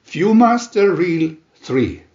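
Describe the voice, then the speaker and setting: A man narrates a comic adventure in a theatrical announcer style. He speaks slowly and with pauses, his pitch swinging widely. The recording is roomy and somewhat dull. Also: big pitch swings, a little mellow. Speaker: narrator reading aloud a picture-reel booklet.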